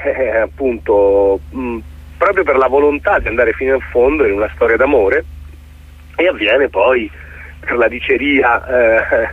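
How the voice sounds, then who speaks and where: A middle-aged man from the Middle East, a theatre director being interviewed, explaining his work haltingly and searching for words. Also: mid-range voice, husky, no echo. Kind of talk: radio interview, explaining a production. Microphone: telephone call. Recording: thin, phone-like line.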